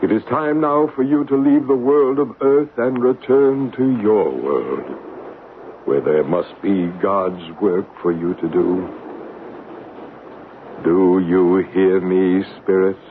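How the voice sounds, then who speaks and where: A deep-voiced calm psychic. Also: male voice. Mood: commanding. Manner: slow, hushed, coaxing. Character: calm, soothing male psychic guiding a séance. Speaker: psychic medium and narrator. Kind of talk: 1970s radio drama acting. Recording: narrow, hissy old radio broadcast.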